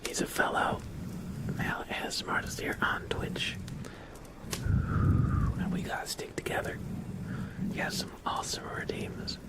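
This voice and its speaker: Whispering male ASMR streamer, slow delivery. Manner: slow, soft, mostly whispered. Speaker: ASMR livestream host. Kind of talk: ASMR, whispered livestream chat. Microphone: close-up microphone.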